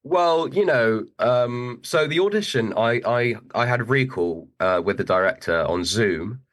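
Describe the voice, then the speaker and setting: A young man with a British accent tinged with American, speaking as an actor guest in a relaxed, hesitant interview chat, laughing easily. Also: medium pitch, a little slow. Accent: British with a hint of American.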